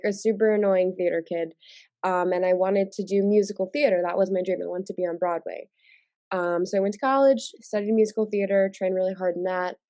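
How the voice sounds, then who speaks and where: A woman's voice: medium pitch, a little mellow, no echo. A young woman in her twenties from New York, congested from a cold, chatting casually with many fillers and restarts in an interview over a video call. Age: 20s. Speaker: singer-songwriter guest.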